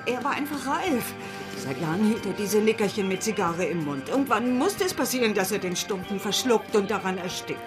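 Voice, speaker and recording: An actress playing a frank, sensible widow in a crime radio drama, brisk and scolding in manner. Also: female voice, medium pitch, sing-song, lightly acted. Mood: firm, placating. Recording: music under the voice.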